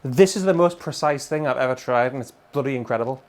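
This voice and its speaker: A man's voice: mid-range voice, fast. A middle-aged British man giving an enthusiastic, emphatic and colloquial gear demo to camera. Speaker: guitar gear demonstrator. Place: indoor room full of guitars and amplifiers.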